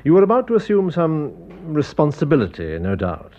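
An actor playing an eminent English physician in a radio drama, formal and authoritative, with a Received Pronunciation accent. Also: male voice, a little high, huge pitch swings, darkish tone, dull audio. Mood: measured, probing. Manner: measured, formal, authoritative bedside manner. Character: eminent Harley Street physician, smooth, measured, authoritative man. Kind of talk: classic radio drama acting.

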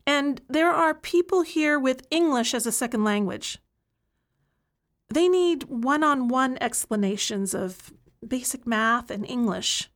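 A woman's voice: sits high, lively intonation, a little slow. Woman playing a frank prisoner who tutors others. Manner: earnest, frank, building to a plea for change. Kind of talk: monologue in an audio play.

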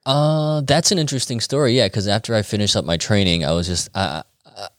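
A man's voice: fairly low pitch, a little fast, dry recording, studio quality. An American man, an interview guest, telling stories in loose, colloquial conversation and acting out bits of dialogue as he goes. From United States. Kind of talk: casual conversational storytelling.

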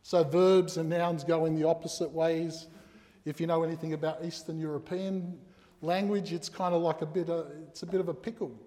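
A man's voice: a little high, little pitch movement, moderate pace, dark tone. Preacher, male, native Croatian speaker; earnest, emphatic delivery with rhetorical questions, in a reverberant hall. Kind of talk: sermon.

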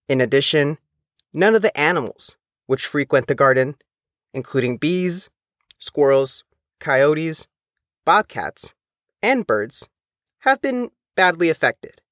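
A man reading a scripted news feature as the reporter, in a measured, careful way. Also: a little high, fairly bright tone, no room sound, studio quality. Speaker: reporter and narrator.

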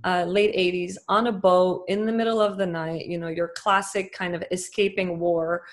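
A woman in her thirties, born to a family from Lebanon, telling her story as an interview guest in a lively, laughing way. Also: on the low side, moderate pace. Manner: bubbly, laughs often, animated. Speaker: guest, company founder. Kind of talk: casual interview over video call.

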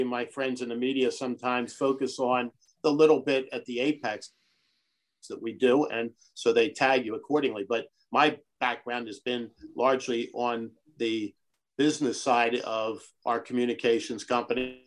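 A middle-aged man from Brazil, a guest on a podcast, answering in an earnest, measured way. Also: mid-range voice, level tone. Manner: earnest, measured, speaks of his convictions. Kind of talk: conversational interview.

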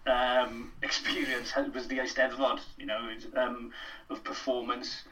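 A man's voice: mid-range voice, flat delivery, measured pace, sharp tone, distant mic, low-quality recording. A middle-aged Welsh man, a drama lecturer, chatting as a podcast guest with many fillers and restarts. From Wales. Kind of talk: casual podcast interview.

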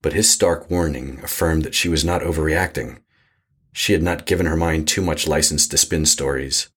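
A man narrating a scripted horror audio drama as an ominous storyteller with a husky voice. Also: steady tone, moderate pace, fairly bright tone, heavily acted. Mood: foreboding. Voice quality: husky, very deep.

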